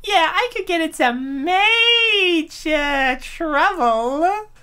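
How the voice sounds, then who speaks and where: A woman voicing a chirpy, bossy female photo shoot manager who gives quick, perky commands. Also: extremely high pitch, lively intonation, bright, forceful tone, voice pushed up, exaggerated voice. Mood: briefing.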